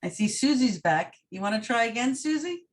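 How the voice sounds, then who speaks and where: A woman's voice: fairly high pitch, expressive, brisk. A woman moderating a casual group video call, friendly and encouraging toward each speaker.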